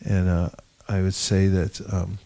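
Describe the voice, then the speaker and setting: A man's voice: sits low, little pitch movement, a little slow, gravelly, dark tone. A man leading a devotional class discussion, telling anecdotes with wry humor. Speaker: discussion leader. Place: gathering in India.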